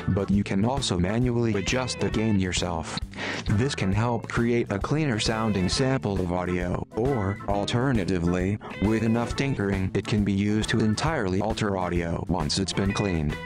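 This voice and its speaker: A man reading scripted instructional narration for a tutorial in a measured, step-by-step way. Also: lowish voice. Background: background music.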